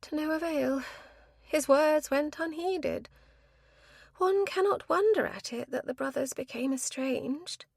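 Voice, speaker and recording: A prim, high-strung spinster with a high, lively voice that swoops up into shrillness, as a woman reads her. She speaks in formal, genteel phrases, and the sound is close and dry. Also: fairly quick, vocal fry, no echo. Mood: earnest, prim. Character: prim, nervous spinster woman, prone to shrieking.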